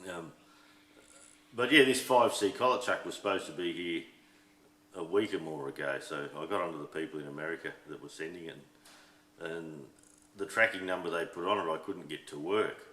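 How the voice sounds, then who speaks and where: A middle-aged Australian man talks in a deep, low register, his voice a little hoarse. He rambles through shed chat with lots of ums and false starts. The sound carries an echo from a roomy workshop. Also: normal speed, bright, forceful tone. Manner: rambling, full of ums and restarts. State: a bit hoarse. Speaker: workshop channel host. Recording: echoey shed room.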